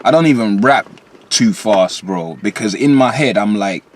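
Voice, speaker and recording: A man with a London accent, a rapper guest in a candid interview, telling stories in an animated way with London slang. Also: moderate pace. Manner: lively, rising pitch when quoting himself.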